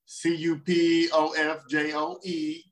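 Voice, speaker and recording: Teasing man with a slightly high voice. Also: monotone. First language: Spanish. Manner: teasing, playful, quick comic asides. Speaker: podcast guest. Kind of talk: joking podcast banter.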